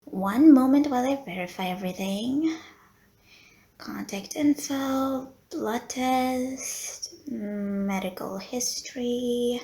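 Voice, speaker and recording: A woman's voice: a little high, slow, mellow, reverberant, rough recording. A woman playing a polite, teasing female vampire who runs a blood bank, in an audio roleplay spoken to the listener. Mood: playful, flirty. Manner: genteel, courteous, teasing. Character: polite, flirty female vampire running a blood bank, playful and long-suffering. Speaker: medical center receptionist.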